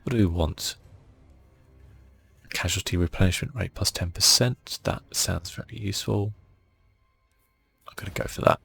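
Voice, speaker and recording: Male ASMR gamer: soft, breathy, low and creaky, casual half-whispered play-by-play. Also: normal speed, long pauses, soft background music. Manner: casual, laid-back, musing to himself. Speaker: gaming channel host. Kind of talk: relaxed ASMR game commentary.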